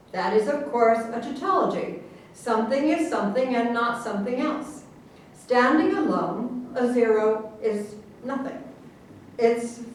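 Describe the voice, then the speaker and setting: A woman in a staged reading playing an earnest, pompous government official who lectures with deliberate emphasis. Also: fairly high pitch. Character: government official earnestly explaining absurd secret science. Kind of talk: theatrical staged reading of a satirical play. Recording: very echoey room, distant mic, faint noise.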